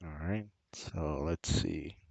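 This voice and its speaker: A man's voice: rumbling low, takes it slowly, vocal fry, a little mellow, dry recording. A man with a slight cold, narrating a casual screen-share tutorial in a hesitant, repetitive explaining way. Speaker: channel host and tutorial presenter.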